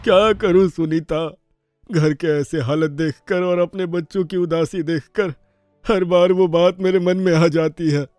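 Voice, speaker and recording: A man playing a gentle, sorrowful father of a poor family in a cartoon moral story. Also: fairly high pitch, fairly even intonation, measured pace, smooth, no room sound, soft background music, natural voice. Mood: sad, regretful.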